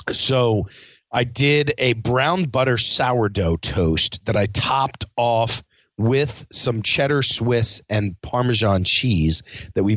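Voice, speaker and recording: A man's voice: medium pitch, fairly bright tone, no room sound. Guy chef chatting loose and rambly, stuffy nose from a cold, dry dull sound. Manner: rambling, frequent fillers and restarts. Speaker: chef and podcast host. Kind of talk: casual spoken recap.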